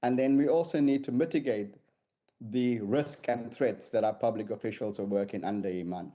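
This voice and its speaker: Measured security analyst, mellow. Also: male voice, mid-range voice. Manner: measured, with repeated words and restarts. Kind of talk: live news interview commentary.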